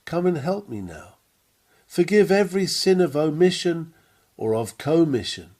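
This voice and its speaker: Mellow, higher-pitched man reading a sermon. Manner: earnest, measured, emphatic preaching delivery. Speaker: reader of a printed sermon. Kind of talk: read-aloud sermon, devotional.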